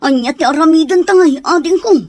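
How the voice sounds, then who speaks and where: A woman's voice: extremely high pitch, brisk, darkish tone. A woman playing a concerned, protective elder-sister figure who cautions others in an audio drama. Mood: worried. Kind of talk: Ilocano audio drama acting.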